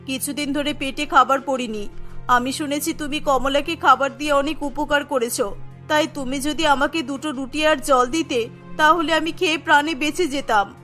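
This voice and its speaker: A woman's voice: sits high, fairly even intonation, moderate pace, pushed and bright, faint music behind, voice pushed down. A woman voicing a frail, hungry old village woman in a cartoon, humbly begging. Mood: pleading.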